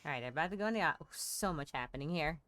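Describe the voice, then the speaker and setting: A woman's voice: sits low, animated, rapid-fire, a bit bright, no room sound. Woman casually commenting on a game. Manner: thinking aloud. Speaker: game streamer.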